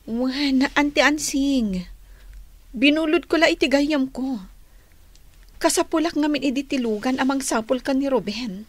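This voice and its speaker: High-voiced earnest niece. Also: female voice, measured pace, no echo. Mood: earnest worried. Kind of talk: Ilocano radio drama acting.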